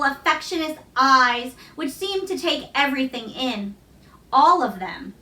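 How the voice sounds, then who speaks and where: A woman reading a children's novel aloud as expressive storybook narration. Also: high voice. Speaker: storybook reader. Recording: roomy echo, distant microphone.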